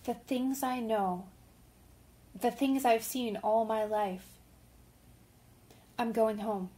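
A woman's voice: a little high, steady tone, slow, mellow. A woman playing an earnest, impulsive young woman writer in an old-time radio drama. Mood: tearful, hesitant.

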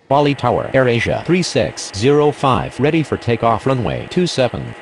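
A man playing a polite air traffic radio voice in a game, using measured, procedural scripted phraseology. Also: medium pitch, sing-song.

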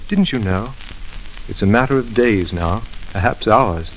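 Man doing grave country doctor in old radio play, low voice, slight hiss. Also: a little slow, natural voice, rough recording. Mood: grave concern. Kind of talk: 1940s radio drama acting.

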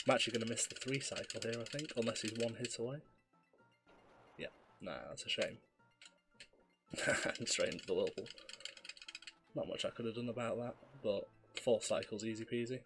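A man, slightly hoarse with a cough, calmly explaining his speedrun as he plays. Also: mid-range voice, moderate pace, long pauses. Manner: relaxed, explanatory, self-deprecating. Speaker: speedrunner commentating his own run. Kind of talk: live gameplay commentary. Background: faint game music.

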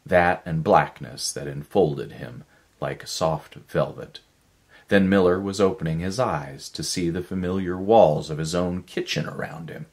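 A man's voice: low-pitched, measured pace, darkish tone. A man, reading a story as dramatic scripted narration. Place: small living room with a stove.

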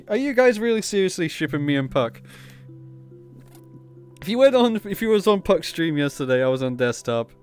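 A man's voice: high voice, big pitch swings, soft background music. A man streaming in a virtual world, chatting casually and laughing often. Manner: giggly, teasing, quick to laugh. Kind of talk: casual livestream banter.

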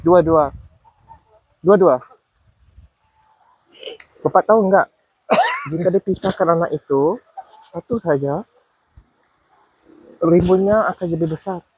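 A man's voice: sits high, wildly swooping pitch, takes it slowly, pauses often, a little mellow, breathy edge, dry recording, poor audio. A Malaysian man with a Malaysian accent chatting outdoors in rice paddies, curious and asking questions, repeating answers back. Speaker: vlogger. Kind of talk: casual walking vlog chat. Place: outdoors in rice paddy fields. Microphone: body-worn action camera.

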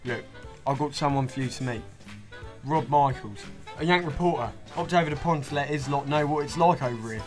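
Actor playing a chummy British wartime reporter. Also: male voice, medium pitch, fairly quick, close to an everyday voice. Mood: chummy, casual. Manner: chummy, slangy. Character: cheery, defiant British male reporter in wartime London. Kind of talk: radio drama acting. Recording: music behind the voice.

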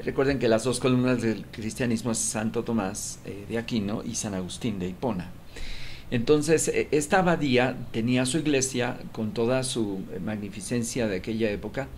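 A man's voice: lowish voice, a little hiss or hum. A middle-aged man hosting a studio talk show, eagerly chiming in and turning formal when he presents. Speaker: TV host. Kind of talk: casual studio conversation. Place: small TV studio.

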